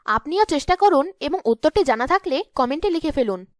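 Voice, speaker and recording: A woman's voice: high-pitched, animated, brisk, dry recording, studio quality. A woman narrating a scripted cartoon story as the storyteller.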